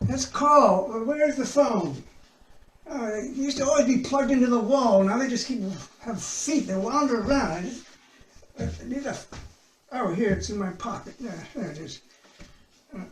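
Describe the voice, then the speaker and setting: A woman playing a flustered, forgetful, pompous old gentleman in a comic readers' theater sketch, stammering and muttering. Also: a little high. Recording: roomy, distant online video call.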